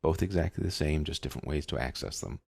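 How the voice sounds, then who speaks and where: A man narrating a casual step-by-step software tutorial in an informal, explanatory way. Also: very deep voice, fast talker, gravelly, husky, dry recording, clean studio sound. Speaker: software instructor.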